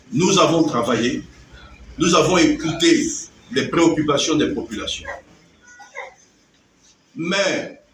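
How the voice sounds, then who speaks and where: A man's voice: mid-range voice, slight background noise. Man giving an echoey political speech. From Gabon. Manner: oratorical, emphatic, measured pauses. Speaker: political movement leader. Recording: echoey, distant mic with faint ambience.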